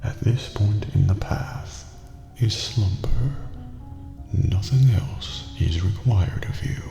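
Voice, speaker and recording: A man acts out an old, otherworldly prison master with courteous, ominous formality. His low, gravelly voice slips toward a whisper in this ASMR roleplay, with long hall reverb around it and music behind. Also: mellow. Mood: calm, instructive. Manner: formal, deliberate, ominous, often near whispering.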